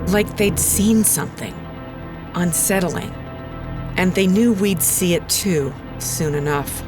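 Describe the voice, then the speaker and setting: An actress playing an uneasy female radio presenter, narrating an eerie investigation in a measured, deliberate way. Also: on the low side. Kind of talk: scripted first-person narration.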